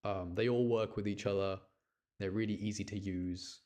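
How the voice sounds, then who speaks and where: A man's voice: on the low side, fairly even intonation, moderate pace, darkish tone. A man with a British accent giving casual, chatty live gameplay commentary, thinking aloud as he plays. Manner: chatty, informal, thinks aloud while playing. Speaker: game streamer. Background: music behind the voice.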